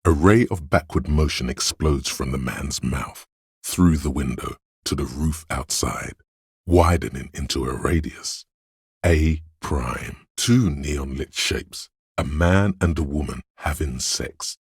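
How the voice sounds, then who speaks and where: Very deep, gravelly analytical inner voice. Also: male voice, slow, dry recording, studio quality, exaggerated voice. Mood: measured, analytical. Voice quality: gravelly, husky bass. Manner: precise, clinical, dryly observant. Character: analytical inner voice of a detective's visual reasoning. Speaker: game voice actor. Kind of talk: measured, deliberate game narration.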